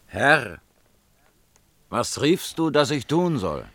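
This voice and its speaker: An actor playing a poor, servile labourer hungry for gold, declaiming deferentially and intensely in a verse radio drama. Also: male voice, medium pitch, sing-song, takes it slowly, fairly bright tone, dry recording, exaggerated voice. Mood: puzzled, deferential.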